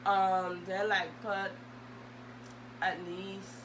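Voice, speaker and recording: A woman's voice: mid-range voice, little pitch movement, extremely slow, dull audio. A young adult woman, chatting casually and hesitantly as she answers questions for a vlog. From Bahamas. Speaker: video host. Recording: loud humming background noise.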